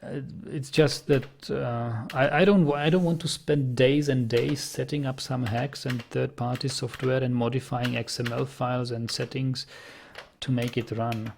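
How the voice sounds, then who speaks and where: Czech guy streaming a game, gravelly voice, thinks out loud and restarts words a lot, chats with viewers. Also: mid-range voice, steady tone, a little slow, slight background noise. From Czech Republic. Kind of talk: casual gameplay commentary, reading chat.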